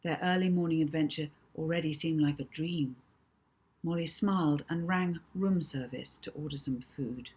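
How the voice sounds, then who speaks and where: A woman's voice: sits low, moderate pace, a little mellow, slightly rough. A woman narrating a children's novel as its author, in scripted audiobook style. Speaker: author reading own novel.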